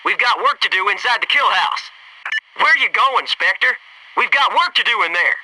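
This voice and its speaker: A brash young SEAL squadmate from a shooter game, with a high, very forceful, sharp voice. He tosses out military banter with a cocky edge, sounding set back from the mic. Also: expressive, moderate pace, far from the mic, a little hiss or hum, full character voice, rough recording. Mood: focused. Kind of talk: scripted video game squad radio lines.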